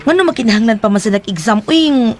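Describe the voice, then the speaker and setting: A young radio-drama daughter with a Cebuano accent who is stuck with all the housework and resents it. She complains and sulks in a slightly high voice. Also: expressive, fast talker. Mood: defensive. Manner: sulky, complaining. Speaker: voice actor and narrator in a radio drama.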